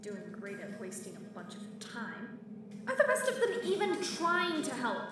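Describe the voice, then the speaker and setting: In a very echoey, distant recording, a young crew woman, acted by a woman grumbles sarcastically in an audio drama. Her high voice swings widely in pitch, irritated and anxious. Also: wildly swooping pitch, moderate pace. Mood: grumbling. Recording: echoey, distant, noisy room sound.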